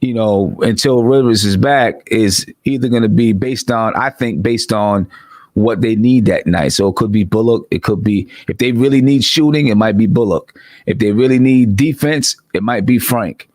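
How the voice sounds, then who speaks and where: A man talking as a podcast guest, thinking aloud in a measured way and often restarting his sentences. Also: fairly low pitch, a little mellow, no room sound. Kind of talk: casual sports talk.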